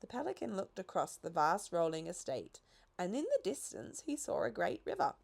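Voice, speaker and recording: Animated woman reading a children's story. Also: lowish voice, normal speed, vocal fry. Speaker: audiobook reader. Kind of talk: scripted children's story narration.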